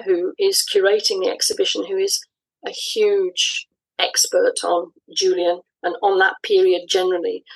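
A woman giving thoughtful, explanatory interview answers in a conversational style, often pausing mid-phrase. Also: mid-range voice, creaky, slightly rough, poor audio. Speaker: interview guest, audio drama writer.

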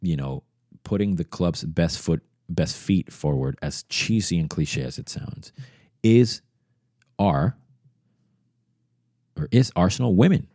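A man in his thirties with a very low, mellow voice, from Venezuela. He hosts a solo podcast and thinks out loud in a rambling, reflective way. He is recorded close and dry on a podcast mic. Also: animated, slow pace, long pauses, no room sound. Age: 30s. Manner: reflective, rambling, frequent restarts and asides. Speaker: podcast host. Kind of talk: casual solo podcast monologue.

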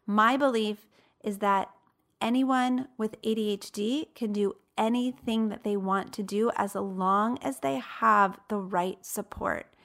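A woman's voice: mid-range voice, fairly even intonation, slow pace, creaky, a bit bright. A woman in her late thirties speaking as a coach and host, giving a motivational talk in an emphatic, conversational way with rhetorical questions. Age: late 30s.

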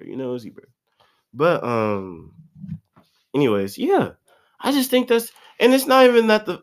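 A man's voice: a little high, pushed and bright. A man of about twenty, congested, rambling in a slangy, profane solo podcast monologue. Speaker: podcast host.

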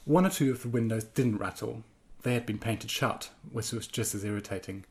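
Man from Germany, somewhat low voice, halting interview answers, steady reading. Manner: hesitant, many ums and restarts when speaking freely. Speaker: guest writer. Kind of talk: interview answers and reading from his own piece.

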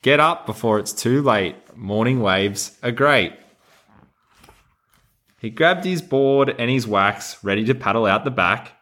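A man's voice: medium pitch, animated, measured pace, pushed and bright. An Australian man who hosts a podcast, talking casually and reading a children's storybook in a playful, encouraging way. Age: adult. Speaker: podcast host.

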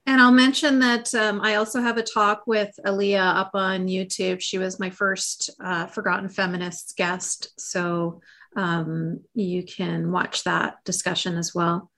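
A woman's voice: mid-range voice, flat delivery, measured pace, fairly bright tone. A woman with Arabic as her first language hosting a casual online talk, outspoken and swearing when indignant. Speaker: host.

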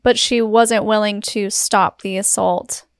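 A woman hosting an interview, asking gentle, probing questions in a conversational way. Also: mid-range voice, steady tone, a little slow, clear, resonant tone, no room sound, studio quality. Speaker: interviewer, show host.

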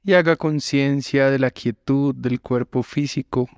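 A man guiding a relaxation meditation as a yoga instructor, giving calm, measured instructions with long pauses between phrases. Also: medium pitch, smooth, dry recording, clean studio sound. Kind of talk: slow guided relaxation meditation.

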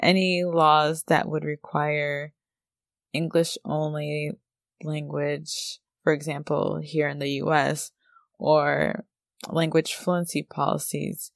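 A woman with a standard American accent hosting a podcast, explaining a topic in a measured, scripted way. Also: low-pitched, creaky, dry recording, clean studio sound. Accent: General American. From United States. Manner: measured, explanatory, reads from prepared notes. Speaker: podcast host.

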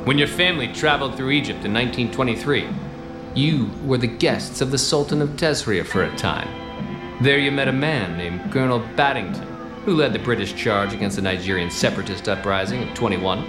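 An actor playing a cocky, bossy 1920s private detective in a noir radio comedy, with clipped wisecracking delivery. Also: male voice, medium pitch, fairly quick, over music, lightly acted. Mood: dramatic accusing.

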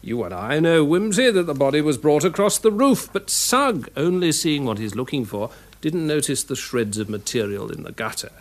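A dryly amused English police inspector in a radio drama. His voice is slightly high and varied in pitch, sensible and explanatory. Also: very animated, moderate pace.

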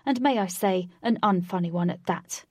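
A composed male leader speaks in a measured, slightly formal tone, as a woman storyteller reads him. The voice stays close to her own. The sound is dry. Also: medium pitch, no echo, natural voice. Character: calm, proper young male leader.

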